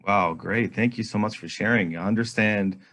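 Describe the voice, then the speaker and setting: A man's voice: on the low side, normal speed, fairly bright tone. A man hosting an online interview, enthusiastic and friendly, speaking over a video call. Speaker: host, executive director.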